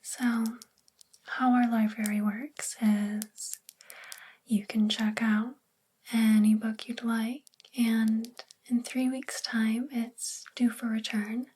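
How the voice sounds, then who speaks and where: A woman playing a sweet, gentle, self-doubting witch librarian in a soft-spoken, confiding ASMR roleplay with a breathy, whispery voice. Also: on the high side, level tone, slow, slight background noise. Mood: gently teasing.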